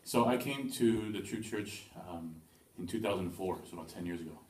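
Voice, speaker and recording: Deep-voiced man, halting church testimony. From Taiwan. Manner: hesitant, many ums and restarts. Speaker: church member giving testimony. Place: church hall. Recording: echoey room from far off.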